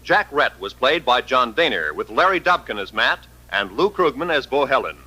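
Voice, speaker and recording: An American man announcing formally in the crisp style of fifties radio credits. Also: medium pitch, big pitch swings, moderate pace, pushed and bright, low-quality recording. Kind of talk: 1950s radio closing credits.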